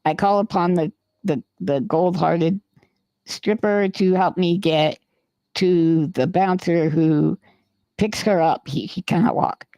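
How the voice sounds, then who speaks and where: Guy with a gravelly voice telling a long wild story, swears a lot, super dry sound. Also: a little high, takes it slowly, dry recording, low-quality recording. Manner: rambling, animated, swears freely. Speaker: podcast co-host. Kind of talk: casual storytelling.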